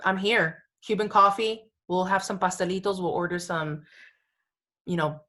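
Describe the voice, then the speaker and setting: A woman's voice: fairly low pitch, moderate pace, bright, forceful tone. A woman co-hosting a casual podcast, chatting in an emphatic way and often repeating her phrases. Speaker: podcast co-host.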